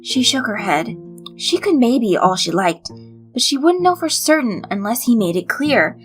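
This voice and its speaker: A woman reading a scripted story as narrator, in an expressive storytelling style. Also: on the high side, moderate pace. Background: light background music.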